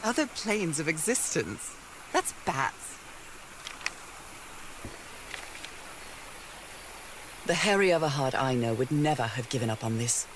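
An actress playing a curious, devoted wife in an adventure game, thinking aloud reflectively with old-fashioned slang. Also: female voice, deep voice, lively intonation, moderate pace, noisy background. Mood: intrigued. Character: curious, devoted wife searching for her missing husband.

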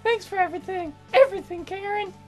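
Man doing a very high, melodramatic young woman. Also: fast talker, soft background music. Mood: tender, apologetic. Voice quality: falsetto. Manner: melodramatic, gushing. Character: young woman songwriter, hopeless romantic, dramatic, excitable.

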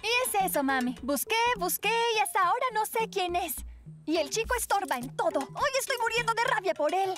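Cartoon teen heroine: very high pitch, theatrical, hot-tempered schoolgirl, faint music under. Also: a little fast. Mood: exasperated. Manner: dramatic, expressive. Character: teenage schoolgirl Clara, dramatic and angry over a rival boy.

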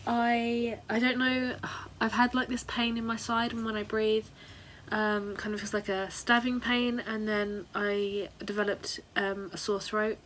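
Woman vlogging at her desk, bit husky from a sore throat, lots of ums, faint hiss behind. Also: fairly high pitch, little pitch movement, unhurried, fairly bright tone. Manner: chatty, frequent ums and small laughs. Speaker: artist vlogger. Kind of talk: casual vlog chat to camera. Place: home studio room, indoors. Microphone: camera on the desk, close framing.

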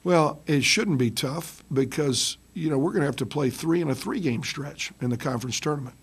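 A middle-aged man, a basketball head coach, answering reporters after a loss, halting with many ums and restarts, speaking into a table microphone. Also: fairly high pitch, breathy edge. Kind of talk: post-game press conference. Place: press room with curtain backdrop. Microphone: table mic on stand.

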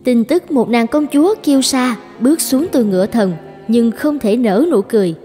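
A woman's voice: on the high side, normal speed, dark tone, big-room sound, soft background music. Woman narrating a fairy tale. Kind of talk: storytelling narration.

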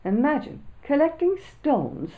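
A woman's voice: high-pitched, big pitch swings, a little slow, phone-quality sound, pitched above the performer's own voice. Woman reading as a scoffing young schoolboy. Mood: teasing. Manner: scoffing, teasing. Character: cheeky, mocking schoolboy narrator.

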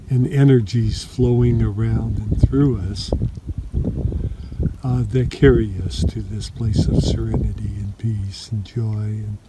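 A man sharing a spiritual reflection outdoors by a shoreline, talking softly and haltingly with many pauses and fillers. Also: on the low side, noisy background. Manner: slow, soft-spoken, halting with many fillers. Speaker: meditation teacher. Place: lakeshore outdoors.

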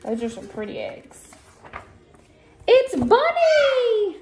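A woman's voice: very high-pitched, huge pitch swings, slow, music in the background. An American woman in her thirties reading a picture book aloud and chatting casually to children, with sing-song emphasis. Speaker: read-aloud host. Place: small room at home. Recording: roomy echo, faint background noise.

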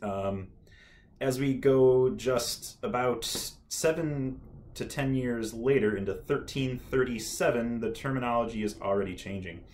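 A man's voice: medium pitch, measured pace, a little hiss or hum. A young man hosting a history video, talking casually to camera in a small room, with plenty of ums and an enthusiastic, nerdy tone. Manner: relaxed, many ums and uhs, nerdy enthusiasm. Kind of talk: casual educational talk to camera. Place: small room indoors, dark backdrop.

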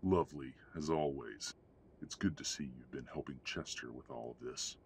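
A man's voice: extremely low pitch, normal speed, creaky, a little hiss or hum. An actor playing a haughty, middle-aged father who speaks in a pompous, formal, condescending way in an audio roleplay. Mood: cold, formal. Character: haughty, cold, domineering upper-class father. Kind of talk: scripted audio roleplay acting.

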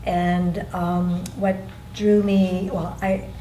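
A woman's voice: lowish voice, level tone, very slow, dark tone. A woman in her sixties recalling her past in a group interview, reflective and laughing between thoughts. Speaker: interviewee, taiko member. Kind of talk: group interview, personal recollection. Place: museum meeting room, seated in a group. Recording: roomy, distant mic, faint room noise.

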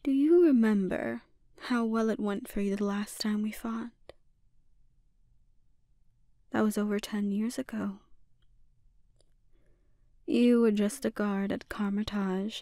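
A woman voicing a calmly dominant, taunting sorceress villain in an intimate ASMR roleplay, speaking deliberately and lapsing into breathy whispers. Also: medium pitch, no room sound, studio quality. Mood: taunting, menacing.

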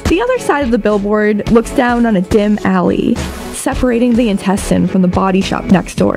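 Female narrator, higher register, playful scripted storytelling, music bed underneath. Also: vocal fry. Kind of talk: scripted audio drama narration.

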